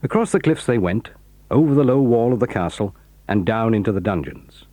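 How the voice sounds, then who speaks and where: A man with a British accent narrating a children's adventure story in a measured, lively way. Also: on the low side, no room sound.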